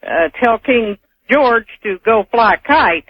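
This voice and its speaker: An American woman calling a radio show, arguing her opinion in a halting, unscripted way. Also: fairly high pitch, expressive, dry recording. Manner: halting, argumentative. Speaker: call-in radio caller. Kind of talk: unscripted opinionated phone talk. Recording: dull telephone line on AM radio.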